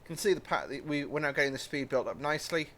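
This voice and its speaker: Casual British gamer type, rambling commentary with apologies. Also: male voice, on the high side, animated, quick pace, a bit bright, a little hiss or hum. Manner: rambling and chatty, apologetic asides. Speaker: gaming channel host. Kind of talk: casual train-sim let's-play commentary.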